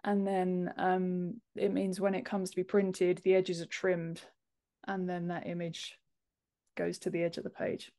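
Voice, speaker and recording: A woman's voice: lowish voice, completely flat delivery, measured pace, dark tone. A woman in her thirties giving explanatory answers as an interview guest on a video call. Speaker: podcast guest, book formatter. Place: home office, indoors, on a video call. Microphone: wired earphones on a video call.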